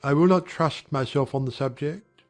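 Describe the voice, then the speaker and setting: An actor playing an aggrieved young gentleman in a dramatized audiobook, speaking in formal, measured, earnest period language. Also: on the high side, faint music behind, lightly acted. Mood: confiding, earnest. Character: young gentleman airing polite, wounded grievances. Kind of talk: dramatized audiobook character acting.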